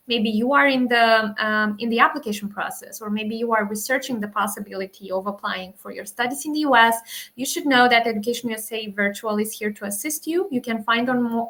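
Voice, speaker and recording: A woman's voice: on the high side, fairly bright tone. A woman working as an education advisor, hosting an online webinar in a friendly way with frequent hesitant "uh" fillers, speaking into a computer mic on a video call.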